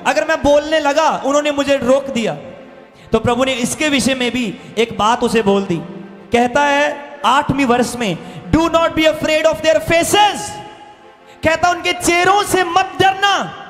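High-pitched male preacher in echoing hall. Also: fairly bright tone. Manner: emphatic, repeats phrases, slows for quoted verses. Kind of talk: impassioned sermon mixing Hindi and English. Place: church hall stage. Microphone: handheld microphone. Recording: reverberant hall PA with music behind. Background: music.

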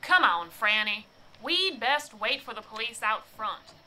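A woman's voice: a little high, big pitch swings, unhurried, very noisy. A woman playing a protective, no-nonsense Southern wife in a radio drama, firm and exasperated. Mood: stern, impatient.

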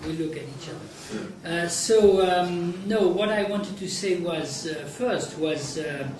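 Brief: very slow, deliberate male guest, slightly high voice, halting delivery, recorded from across a roomy meeting room. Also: a little mellow. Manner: halting, with many ums and restarts. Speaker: interview guest. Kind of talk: slow, thoughtful conversation. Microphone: far camera mic. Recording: echoey room, distant mic, background noise.

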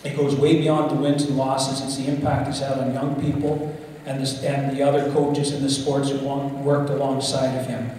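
A man's voice: mid-range voice, level tone, moderate pace, warm tone, slight background noise. Man speaking at a lectern in an echoey hall. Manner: reads a citation, with some stumbles and self-corrections. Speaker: athletic director giving induction remarks. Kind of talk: formal speech read at a lectern. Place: large theatre stage. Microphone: lectern mic on a stand. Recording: echoey hall, mic heard from a distance.

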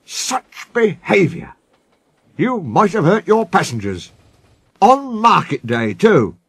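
Man voicing a firm old steam engine, pitched up. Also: wildly swooping pitch, slow, creaky, a bit bright, slightly rough, no echo, exaggerated voice. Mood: stern, scolding. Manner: firm, emphatic scolding and pleading. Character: stern, brave old steam engine, easily winded, male.

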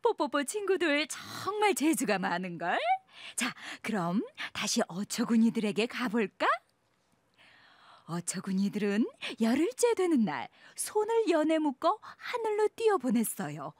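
A woman narrating a children's story in a bright, singsong storyteller's manner. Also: fairly high pitch, sing-song, a little slow, no echo. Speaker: storyteller host. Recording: music under the voice.

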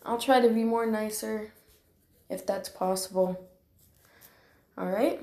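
A woman's voice: medium pitch, slow pace, dark tone. A woman voicing a cocky, boastful young warrior cat in amateur character voice lines, with a dramatic, teasing manner. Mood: sheepish, apologetic. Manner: bragging, taunting, dramatic. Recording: roomy home recording.

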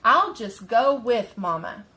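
A woman's voice: fairly high pitch, expressive, slow pace, pushed and bright, slight background noise, exaggerated voice. A woman voicing an eager little boy bunny who adores his mama in a storybook. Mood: determined.

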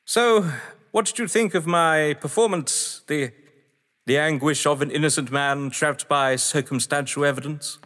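An actor playing a proud, excitable mystery writer in an audio drama, theatrical and indignant in manner. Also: male voice, a little high, unhurried. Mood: admiring, jovial. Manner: theatrical, indignant, well-spoken. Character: proud, excitable English mystery writer, gamesman under suspicion. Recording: long hall-like reverb.

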